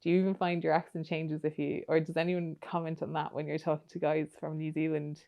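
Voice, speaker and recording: Woman host, really low mellow voice, chatty, rambly questions with lots of ums and likes. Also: level tone, a little fast. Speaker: podcast host. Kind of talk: casual interview chat.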